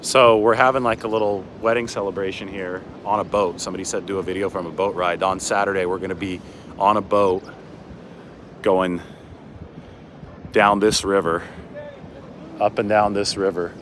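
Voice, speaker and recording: Male, American, low-pitched, casual sports livestreamer on the move, faint city and traffic noise. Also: steady tone, moderate pace, pauses often, a bit bright. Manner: rambling, chatty asides while on the move. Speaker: sports reporter hosting a livestream. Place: outdoors on city streets by a river at dawn.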